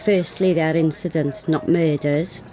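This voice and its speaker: A woman's voice: low-pitched, steady tone, unhurried, mellow. A woman playing a matter-of-fact police sergeant in a radio play, chatty and dry. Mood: dry, informative. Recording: siren and vehicle noise behind.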